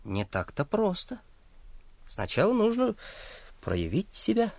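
A man's voice: sits high, huge pitch swings, slow, gravelly, no echo, exaggerated voice. A man in a radio play voicing a conceited, lanky teenage boy who speaks pompously, like a self-styled artist. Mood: self-important. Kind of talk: Russian radio play acting.

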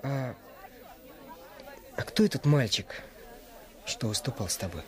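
An actor playing an earnest, determined adolescent boy sleuth in a Russian radio play, with a husky voice. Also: medium pitch, expressive, unhurried, a faint crowd, close to an everyday voice. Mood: gruff, curious.

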